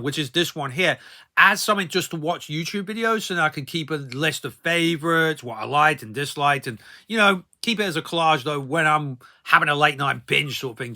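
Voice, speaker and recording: A middle-aged man with a very bright, forceful voice pitched a little high. He talks in a casual, rambling vlog style, as if chatting through a personal story, and often drops in small filler tags. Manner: rambling, conversational, frequent verbal tags like "you know" and "though". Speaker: vlogger.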